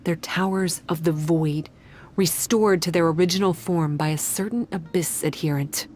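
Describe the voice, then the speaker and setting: Woman as a composed, low-voiced priestess guide. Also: fairly even intonation, darkish tone, a little hiss or hum, close to an everyday voice. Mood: serious, explanatory. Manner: measured, explanatory, formal. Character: composed, formal female priestess-like quest guide. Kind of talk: English video game dialogue.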